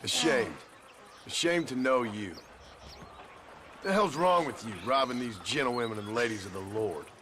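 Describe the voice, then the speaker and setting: An actor playing a dry, sarcastic American gunslinger in a video game cutscene. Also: male voice, medium pitch, lively intonation, moderate pace, pauses often, background noise, natural voice. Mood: stern contempt. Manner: dry, sarcastic, plain-spoken threats. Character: gruff, sardonic American gunslinger, a menacing dry-witted man.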